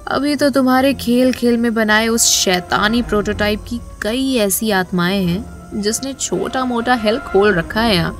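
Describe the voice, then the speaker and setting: A woman playing a cryptic, commanding, mysterious female guide in a horror audio drama, measured and taunting. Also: a little high, faint music behind, natural voice. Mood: cryptic, stern.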